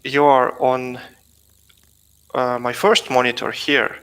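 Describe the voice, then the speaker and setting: A man's voice: mid-range voice, flat delivery, takes it slowly, fairly bright tone, slight background noise. A man from Russia, a guest on a video call, speaking casually with hesitant fillers and dry humor. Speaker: guest, plugin developer.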